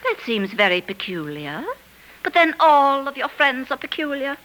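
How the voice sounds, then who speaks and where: Sharp, high-voiced, nagging wife. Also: female voice, very animated, normal speed, rough recording. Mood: suspicious, nagging. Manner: imperious, scolding, dismissive. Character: bossy, nagging middle-aged wife, brisk and scornful. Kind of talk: 1940s radio drama acting. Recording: 1940s radio broadcast.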